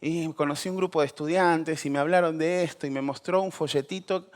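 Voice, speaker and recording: A man's voice: fairly high pitch, fairly even intonation, a little fast. A man in his forties from Peru, giving a conversational personal testimony full of fillers and restarts. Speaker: speaker.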